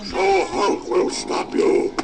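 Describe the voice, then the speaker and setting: Guy doing a big dumb angry monster, super slow gravelly voice, talks like a kid, loud music behind. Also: close to an everyday voice. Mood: sullen, fed up. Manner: childlike third-person speech, slow and blunt.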